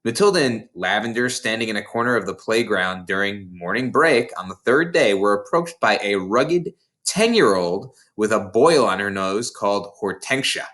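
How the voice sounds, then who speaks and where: A man reading a children's novel aloud as an expressive storybook narrator. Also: lowish voice, moderate pace. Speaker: storybook reader.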